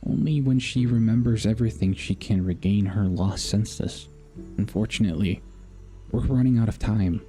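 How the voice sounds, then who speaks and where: A man streaming a video game, reading its dialogue aloud haltingly and dropping in casual asides. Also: on the low side, warm tone. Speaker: gaming streamer. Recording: faint game music behind. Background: faint game music.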